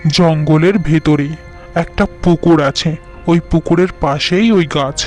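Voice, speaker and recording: Guy doing a sly cartoon uncle talking someone into things, fairly high male voice, music behind. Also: unhurried, darkish tone, lightly acted. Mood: coaxing. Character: scheming uncle persuading his elder brother with tales. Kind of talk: Bengali fairy-tale cartoon dialogue.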